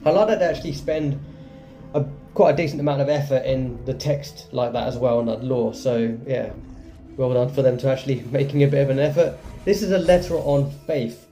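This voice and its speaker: A man's voice: mid-range voice, a little fast, mellow. A man hosting a gaming video, reading game text aloud and adding casual commentary. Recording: echoey room sound. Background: faint game music.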